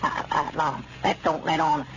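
An actor playing an excitable, grumbling old country fellow in radio comedy, fussy and repetitive, with a Southern American accent. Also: male voice, on the high side, normal speed, close to an everyday voice. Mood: conspiratorial. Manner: fussy, hesitant, repetitive rustic talk. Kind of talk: 1930s-40s radio comedy acting. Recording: muffled, noisy old radio recording.

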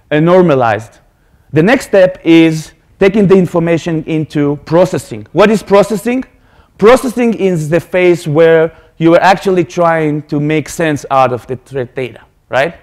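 A man's voice: fairly high pitch, a little mellow. Israeli man giving a conference talk. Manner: earnest, explanatory, repeats words when restarting. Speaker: conference speaker. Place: lecture hall stage. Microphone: podium microphone.

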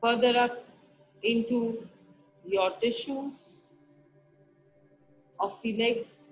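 A woman guiding a meditation, giving soft, slow instructions broken by long pauses. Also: a little high, steady tone. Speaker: meditation guide. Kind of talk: guided meditation. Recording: dull lo-fi recording with faint music. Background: soft background music.

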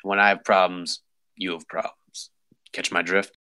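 A man acts out a suave police lieutenant in a drama read. The voice is low and very sharp-edged. He speaks deliberately, with smug menace and insinuation. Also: little pitch movement, smooth. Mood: veiled threat. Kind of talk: script table read.

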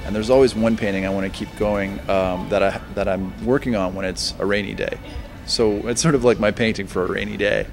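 Music plays clearly as a man of about forty talks in an interview. His voice is fairly low with a lot of fry in it. He speaks casually and thoughtfully, stopping and starting as he explains his painting. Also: steady tone, a little fast. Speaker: painter and art teacher. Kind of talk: casual on-camera interview about own work. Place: busy restaurant during an art reception.

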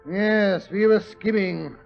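A man's voice: high voice, steady tone, slow pace, gravelly, faint music behind, full character voice. An actor playing a genial, stout older British married man who grumbles and loves his comfort, huffing and stammering in 1940s radio style. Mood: genial, reminiscing. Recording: dull, muffled old radio recording.

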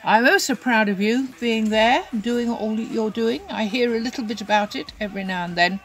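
A woman's voice: a little high, brisk, rough recording. An older woman recording a fond, chatty birthday video message on a phone at home. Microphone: phone camera.

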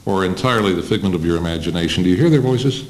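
A man's voice: sits low, animated, racing. An American man putting questions to a guest in a conversational interview. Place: indoor panel table in a plain room.

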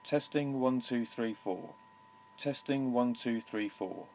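A man reading out a test recording in a steady, deliberate way, counting and listing technical details, into a tape recorder's handheld microphone indoors. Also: mid-range voice, a little hiss or hum.

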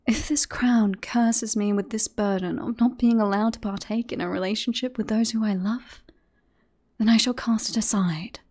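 A woman playing a teasing, self-assured queen in a romantic fantasy ASMR roleplay, with breathy, formal courtly phrasing. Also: medium pitch, steady tone, normal speed, darkish tone, lightly acted. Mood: earnest, tender.

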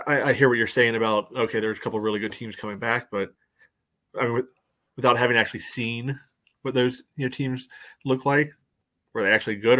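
A man's voice: medium pitch, sharp tone. An American man in his forties co-hosting a casual soccer chat over a video call. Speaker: sports podcast co-host. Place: room at home.

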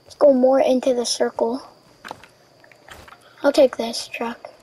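A young woman casually commenting on her own gameplay, relaxed and a bit tired. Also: takes it slowly, husky, rough recording. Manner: relaxed, low-key narration of play, says she is tired. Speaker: gaming video creator.

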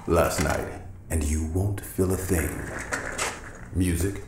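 Very deep, sinister older surgeon. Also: male voice, noisy background. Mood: courtly, sly. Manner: slow, deliberate, formal. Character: sinister older back-alley surgeon, courtly and menacing. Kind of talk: cyberpunk radio drama acting. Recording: roomy echo with music behind.

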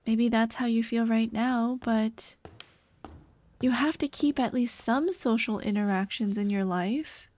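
A young woman voicing a dead girlfriend's caring, gently nagging ghost in an ASMR roleplay drama, tender and coaxing. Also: a little high, fairly even intonation, a little slow, close to an everyday voice. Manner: tender, coaxing, soft-hearted scolding. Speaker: roleplay voice actress.

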